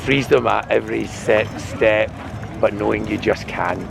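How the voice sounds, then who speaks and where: A man with a hoarse, worn-out voice talking casually and wryly in a spontaneous outdoor video diary. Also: fairly even intonation, measured pace, music in the background. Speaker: vlogger filming a festival diary. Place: outdoors at a desert festival. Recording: noisy outdoor recording with vehicle rumble.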